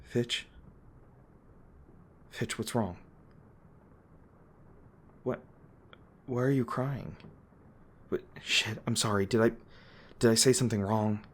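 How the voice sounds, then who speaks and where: A man playing a gruff, guilt-ridden monster hunter with a tender side, speaking haltingly and emotionally in an intimate audio roleplay. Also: lowish voice, steady tone. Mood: concerned, tender. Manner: halting, stammering, emotional, swearing. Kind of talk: intimate dramatic audio roleplay addressed to listener. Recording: noticeable background noise and ambience.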